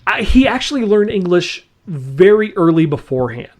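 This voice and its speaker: A man's voice: high-pitched, big pitch swings, moderate pace, a little mellow. A man hosting a podcast in casual chat, enthusiastic and rambling, laughing often. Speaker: podcast host.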